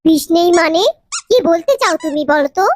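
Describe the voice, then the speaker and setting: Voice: very high voice, brisk. A performer voicing a lovestruck male ant suitor in a melodramatic cartoon romance. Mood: hurt, pleading.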